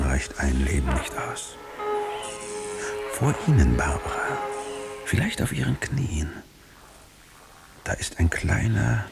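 An eerie, suggestive radio-play figure in a very deep, creaky voice. He speaks in slow, halting phrases with theatrical weight, often sinking towards a whisper. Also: exaggerated voice. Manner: dramatic, halting delivery dropping into whispers. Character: eerie man describing a futuristic gene laboratory, suggestive, theatrical. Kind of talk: German radio drama acting.

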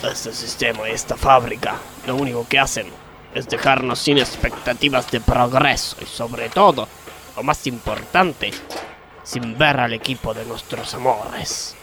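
An actor playing a scheming, mock-solemn factory worker with an Argentine accent in a radio comedy sketch. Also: male voice, mid-range voice, fairly even intonation, unhurried, over music, close to an everyday voice. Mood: complaining. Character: scheming, work-shy, football-loving male factory worker.

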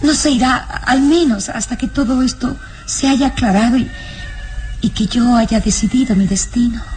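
High-voiced anxious young woman, played by an actress. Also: normal speed, a little mellow, soft background music, close to an everyday voice. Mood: anxious, pleading. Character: anxious, tender young woman, lonely and confused. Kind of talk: Spanish-language radio drama acting.

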